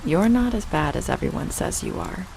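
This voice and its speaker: An actress playing a weary, sorrowful young woman in a video game drama, speaking in a halting, earnest, quietly intense way. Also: on the low side, gravelly. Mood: earnest, reassuring. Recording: steady rain noise behind the voice.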